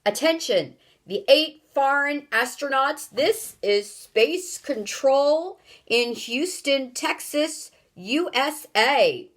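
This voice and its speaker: A woman reading aloud, voicing an angry, urgent man who booms orders over a loudspeaker. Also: expressive, slow pace, full character voice. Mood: commanding. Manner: commanding, urgent, shouting. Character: booming, angry male voice of space control.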